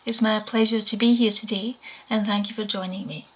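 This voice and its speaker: A woman in her forties with a Scottish accent and a quiet voice, giving a measured conference talk from home over a webcam. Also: mid-range voice, fairly even intonation. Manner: measured, explanatory presenting. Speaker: conference speaker. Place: home room, indoors. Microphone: webcam video call. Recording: dull lo-fi webcam audio.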